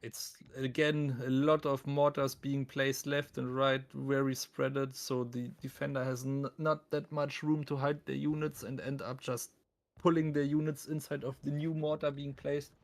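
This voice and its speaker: A man's voice: medium pitch, flat delivery, a little slow, vocal fry. A man with a thick German accent doing live esports commentary on a game, casual, joking and self-deprecating as he talks to his viewers.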